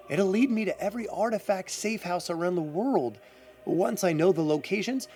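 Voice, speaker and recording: Male actor for guarded adventurer heir, audio drama. Higher-set voice, lively swings, theatrical explainer, music underneath. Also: heavily acted. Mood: eager, urgent. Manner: theatrical, expository. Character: brisk confident male adventurer, guarded heir to explorer's secrets.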